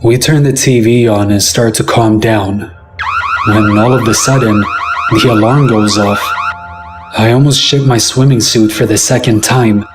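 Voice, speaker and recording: Man in his twenties, low pitch, conversational horror story narration, faint background music. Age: 20s. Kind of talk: first-person scary story narration.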